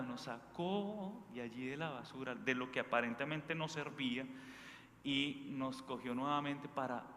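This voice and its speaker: Colombian priest preaching in an echoey hall. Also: male voice, mid-range voice, a bit bright. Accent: Colombian, from Bogotá. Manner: conversational, emphatic, often checks listeners with short questions. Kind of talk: informal sermon, preached talk with anecdotes. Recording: echoey hall with faint background noise.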